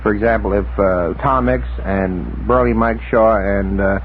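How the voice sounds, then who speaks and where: A man reminiscing casually as a radio panelist, with a hesitant, halting delivery. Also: on the low side, fairly even intonation. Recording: muffled phone-like vintage radio tape, noisy.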